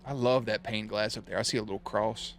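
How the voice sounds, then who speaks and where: A man's voice: lowish voice, fairly even intonation, brisk, faint music behind. Playful, slangy man reacting to TV. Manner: jokey, playful, slangy and profane. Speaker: reaction video host. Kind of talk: casual TV reaction commentary.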